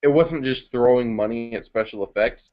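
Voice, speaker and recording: A man's voice: medium pitch, moderate pace, dull audio. A young man casually reviewing films with friends in an informal, chatty way. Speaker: amateur film critic on a group review show.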